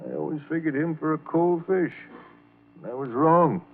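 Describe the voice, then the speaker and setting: A man's voice: on the high side, very animated, normal speed, a little hiss or hum. An actor playing a folksy older man breaking hard news to a younger man in a 1940s radio drama, with rural diction. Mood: reluctant, explaining. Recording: tinny old radio broadcast recording.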